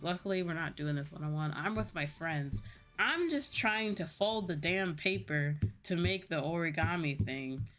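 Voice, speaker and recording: A young adult woman streamer telling personal stories in a casual, animated, giggly chat style. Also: lowish voice. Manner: animated retelling with acted-out quotes and frequent giggles. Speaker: streamer, VTuber host. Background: background music.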